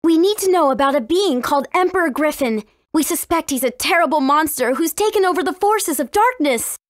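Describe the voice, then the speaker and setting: A woman playing a friendly, earnest, cautious teenage princess in a video game, with expressive delivery. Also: high voice, no room sound, studio quality. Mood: earnest, urgent. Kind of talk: video game dialogue acting.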